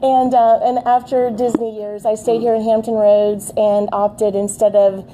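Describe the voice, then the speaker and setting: A woman giving a brisk, professional self-introduction as a marketing consultant. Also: a little high, flat delivery, background noise.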